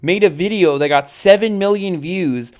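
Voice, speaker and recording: High-pitched man ranting and swearing. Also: normal speed, a little mellow. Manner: profane, ranting. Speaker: streamer. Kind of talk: casual live stream commentary.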